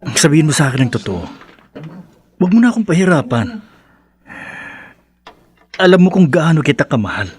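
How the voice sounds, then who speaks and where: An actor playing an insistent, lovesick young man in a radio drama, pleading emotionally with a husky voice and a Filipino accent. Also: on the high side, sing-song, brisk, pauses often. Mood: hurt, insistent.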